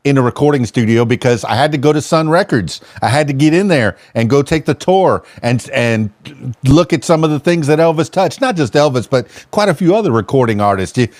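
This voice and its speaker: A man's voice: medium pitch, lively intonation, brisk, hardly any pauses, a little mellow, no room sound. A man hosting a podcast, chatting casually and rambling with lots of fillers and restarts. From Miami. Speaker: podcast host. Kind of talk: casual interview chat.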